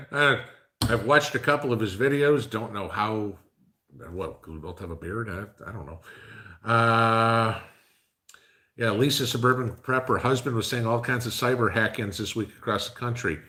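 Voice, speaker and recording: A man with a fairly low voice hosts a live stream and chats loosely with his viewers. He rambles from one comment to the next and fills pauses with plenty of uhs. His delivery is relaxed and conversational. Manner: rambling, conversational, frequent uh fillers. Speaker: livestream host reading chat. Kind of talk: casual live-stream chat.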